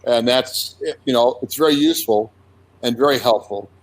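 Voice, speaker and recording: An older man in his seventies, a comic artist recalling his early career in a relaxed interview, unhurried with frequent restarts. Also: mid-range voice, low-quality recording. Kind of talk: casual conversational interview.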